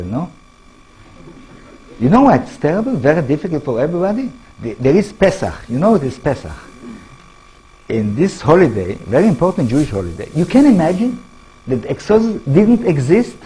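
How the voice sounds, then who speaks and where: A man with an Israeli accent, a native Hebrew speaker, giving a public talk as an author, animated and full of rhetorical questions to the audience. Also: on the high side, breathy edge, rough recording. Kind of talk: public lecture.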